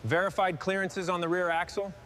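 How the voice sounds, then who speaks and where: High-pitched man giving clipped work call-outs. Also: flat delivery, fairly quick, a bit bright, a little hiss or hum. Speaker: workshop crew member.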